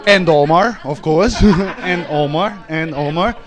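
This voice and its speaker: Loud accented man doing stand-up. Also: fairly high pitch, slow. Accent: non-native English. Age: 30s. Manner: loud, hyped crowd work. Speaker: stand-up comic. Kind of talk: stand-up set.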